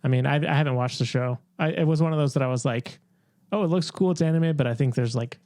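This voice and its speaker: A man co-hosting a podcast in casual group chat, giving low-key, hedged opinions. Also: quick pace, darkish tone, no echo. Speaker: podcast co-host. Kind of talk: casual group chat about games and films.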